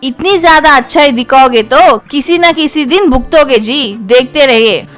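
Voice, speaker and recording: A woman's voice: high-pitched, a little slow, a bit bright, faint music behind. A woman voicing a worried, scolding wife in a story, nagging and pleading. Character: worried, scolding wife of a poor auto driver.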